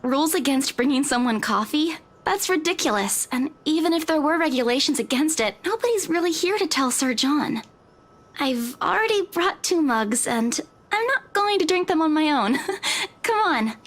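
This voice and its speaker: Chatty young female estate agent, high-pitched and animated, youthful, informal, visual novel game. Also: normal speed. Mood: friendly, insistent.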